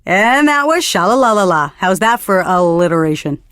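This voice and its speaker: A woman's voice: mid-range voice, very animated, normal speed, a bit bright, smooth, no echo, studio quality. An actress playing a bookish, pretentious young female alternative radio DJ in a video game, delivering earnest literary patter. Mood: smooth promotion.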